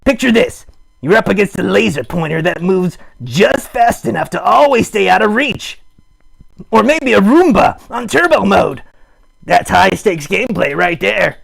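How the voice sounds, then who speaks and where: A man voicing a chatty, goofy puppet who hosts a live show, rambling playfully in a raspy, croaky voice. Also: sits high, animated, a little slow, fairly bright tone, full character voice. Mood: playfully excited. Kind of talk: improvised comic rambling to live chat.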